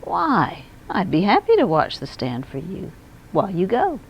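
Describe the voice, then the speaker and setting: An earnest, lonely gentleman from a picture book, read by a woman, speaks with polite emphasis. His lines rise and fall freely, with a little crackle in the tone. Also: mid-range voice, huge pitch swings, measured pace. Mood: polite, friendly. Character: shy lonely man, brightening with wonder and politeness. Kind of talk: storybook character voice.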